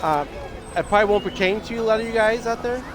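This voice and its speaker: A man's voice: sits high, fast. A man with a scratchy voice he says is going, chatting casually and rambling on a livestream. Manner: rambling, with many restarts. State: hoarse, losing his voice. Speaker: streamer. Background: video game music.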